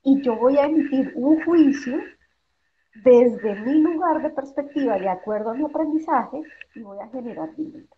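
An emphatic woman in her forties talks at a fairly high pitch, throwing in rhetorical questions and acting out what parents would say. She speaks over a video call from a room at home. The sound is dull and lo-fi. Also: expressive, normal speed. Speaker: guest speaker. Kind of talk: explanatory interview talk.

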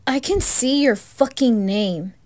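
A woman playing a terrified young woman trapped at home, intense, pleading and often hushed, in a horror story. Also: fairly high pitch, takes it slowly. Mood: angry, panicked. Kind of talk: dramatised horror story reading.

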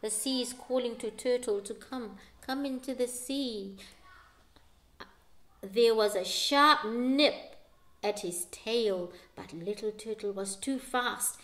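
Middle-aged female storyteller, higher-pitched, unhurried, deliberate and dramatic for little listeners. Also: a bit bright. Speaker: teacher reading to children. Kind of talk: expressive picture-book read-aloud with explanatory asides. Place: living room at home.

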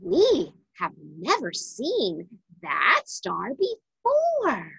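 A woman voicing excited wise men full of wonder in a children's storybook read-aloud. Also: on the high side, very slow, gravelly, breathy edge, no room sound, exaggerated voice. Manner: exclaiming, wide-eyed wonder. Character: excited wise men marveling at a bright star.

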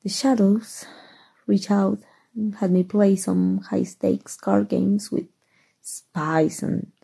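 A woman from Mexico playing a shy, flustered, nerdy gamer superheroine in an ASMR roleplay, soft and halting, with stammers. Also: medium pitch, full character voice. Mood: sheepish. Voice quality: breathy, soft.

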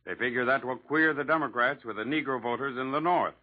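A man's voice: mid-range voice, fairly quick. An American man reporting political news in 1940s radio style, colloquial and wry, on a tinny old recording.

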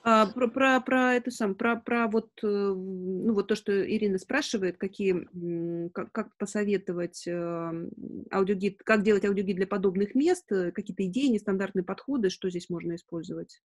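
A woman in her forties hosts an informal webinar over a video call, answering questions in a halting way as she thinks aloud. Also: medium pitch. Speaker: webinar host. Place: indoors, room at home. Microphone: video call on a laptop.

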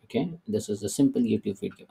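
A middle-aged man giving a screen-recorded software tutorial, hesitant, with many "uh" and "okay" fillers. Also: on the low side, fast, vocal fry, husky. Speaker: tech tutorial presenter.

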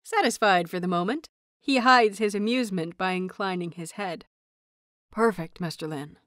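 A woman reading scripted fiction aloud as an audiobook narrator, in an expressive, measured storytelling manner. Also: mid-range voice, no room sound, studio quality.

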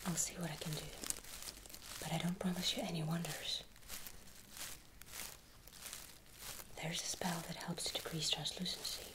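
A young woman playing a gentle, curious apprentice witch in a whispered fantasy ASMR roleplay, speaking softly and unhurriedly. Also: lowish voice, little pitch movement, lightly acted. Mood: hesitant, helpful. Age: young adult. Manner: soft, hushed, unhurried.

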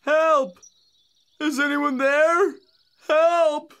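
A woman voicing a gentle, sorrowful tin man in a children's cartoon, plaintive and wistful. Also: sits high, steady tone, drawn-out words, slight background noise, full character voice. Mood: desperate.

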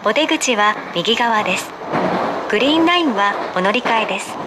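A high female voice reads scripted transit announcements in a polite, formal, measured way. It comes over the speakers of a train car, with clear rumbling noise around it. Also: animated. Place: played over speakers inside a subway car. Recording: noisy train interior, constant rumble.

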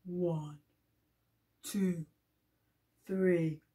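A woman voicing a big, hungry, greedy giant with a booming, roaring delivery. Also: steady tone, very slow, mellow. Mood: drowsy counting.

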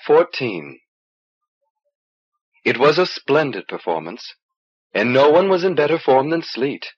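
A man with a husky voice narrating a fantasy novel in an expressive, measured storytelling delivery, on an old cassette transfer. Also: mid-range voice, muffled recording. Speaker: audiobook reader.

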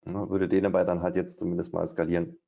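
Low, gravelly man thinking aloud. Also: completely flat delivery, fast, dark tone, slight background noise. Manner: thinking aloud, hesitant, many fillers. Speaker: day trader. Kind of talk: live trading commentary. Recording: dull, lo-fi stream audio.

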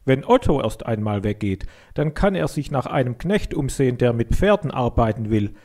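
A man's voice: medium pitch, big pitch swings, moderate pace, darkish tone. A man voicing a stubborn, hot-headed farm boy in a children's story, arguing emphatically. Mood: scornful, insistent. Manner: emphatic, argumentative.

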